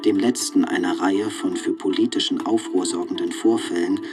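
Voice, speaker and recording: An actor playing a formal chronicler, reading factual documentary text in a radio play. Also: male voice, sits low, steady tone, moderate pace, close to an everyday voice. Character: formal male chronicler reading dated events. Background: music.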